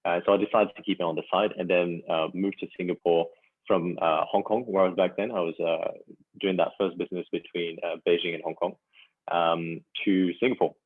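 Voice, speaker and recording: A young man from France talks haltingly through a podcast interview, dotting his answers with fillers. His voice sits very low. It comes through a tinny, phone-like call line that sounds dry and close. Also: level tone, no echo. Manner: halting, full of "you know" fillers. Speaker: startup founder guest.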